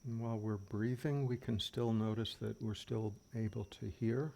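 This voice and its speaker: A man leading an unscripted guided meditation as a teacher, speaking gently and unhurriedly with long pauses. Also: on the low side, vocal fry. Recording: steady background noise of fans and birds. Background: fans, birdsong and insects.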